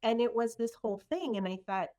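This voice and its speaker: A woman's voice: a little high, lively intonation, fairly quick, warm tone. A middle-aged woman from El Salvador, sharing personal stories in an earnest, reflective conversation. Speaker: guest in a conversation.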